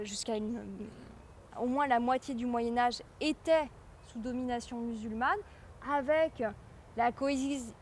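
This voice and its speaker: A woman giving an informal public lecture, explaining things with hesitant pauses and repeated words. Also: high voice, slight background noise. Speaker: guest lecturer, medieval historian.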